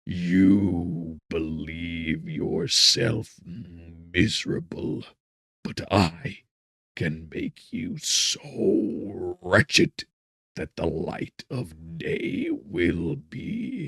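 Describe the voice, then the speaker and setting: A man voicing a wretched, vengeful monster in a gothic audiobook, with smothered, rasping breath and grandiose, bitter menace. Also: sits low, big pitch swings, no echo, clean studio sound, heavily acted. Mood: menacing, domineering. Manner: slow, drawn-out, grandiose threats. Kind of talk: dramatic gothic audiobook acting.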